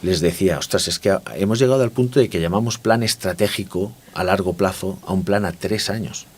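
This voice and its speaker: A man's voice: low voice, brisk, slightly rough. A man from Barcelona with a Spanish accent from Spain, explaining his views reflectively as an interview guest and often checking for agreement. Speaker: interview guest, consumer market expert. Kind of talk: conversational interview, explanatory talk.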